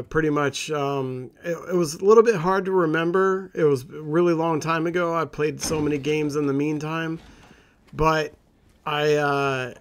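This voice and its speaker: A man's voice: on the high side, clean tone. A man hosting a gaming channel, chatting casually to viewers in an informal, rambling, conversational way.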